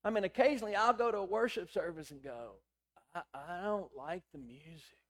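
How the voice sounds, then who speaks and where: A middle-aged man with a hoarse, raspy voice, preaching a sermon in a conversational, storytelling way with quoted dialogue. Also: high voice, a little fast. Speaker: pastor.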